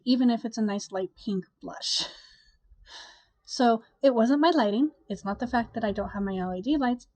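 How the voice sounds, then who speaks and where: A woman's voice: mid-range voice, darkish tone, dry recording. Stuffy-voiced young woman chatting casually. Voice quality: nasal, stuffy. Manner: chatty, rambling, with sighs and laughs. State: congested from a cold. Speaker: vlogger. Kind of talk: casual chat to camera.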